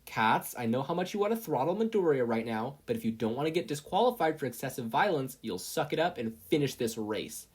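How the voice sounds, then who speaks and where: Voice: medium pitch, lively intonation, a little fast, hardly any pauses, breathy edge, pitched above the performer's own voice. A performer voicing a teenager's inner conscience, bossy and sardonic, with a dry commanding manner.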